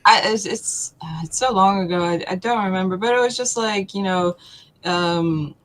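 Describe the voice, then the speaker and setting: A woman, answering casually and haltingly as a talk show guest. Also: medium pitch. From Poland. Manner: hesitant, halting.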